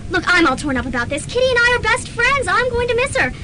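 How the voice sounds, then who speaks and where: A grim college girl acted by a woman, set on her course and unbending. Her voice is extremely high, in a student radio serial with clear background noise. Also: big pitch swings, fast talker, bright, forceful tone, heavily acted. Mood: resolute. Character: grim, resolute college girl possessed by ghost. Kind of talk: student radio drama acting. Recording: noisy old student radio recording.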